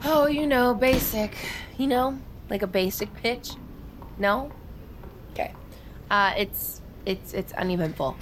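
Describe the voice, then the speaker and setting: A woman's voice: fairly high pitch, slow, a little hiss or hum. An actress playing a brash, stubborn young woman in army training, with blunt, sarcastic delivery in an audio drama. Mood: awkward, probing. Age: young adult. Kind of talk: naturalistic audio fiction acting.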